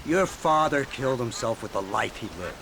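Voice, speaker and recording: A man's voice: medium pitch, animated, normal speed, creaky. An actor playing a smug, sardonic, menacing middle-aged government lawman in a video game, mocking and condescending. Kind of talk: video game dramatic acting. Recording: noisy outdoor ambience behind.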